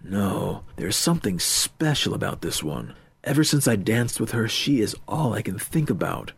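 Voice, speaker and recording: A man voicing an earnest, restless twenty-year-old in an audiobook, low-key and a little defensive. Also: lively intonation, normal speed, husky, dry recording. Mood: lovestruck.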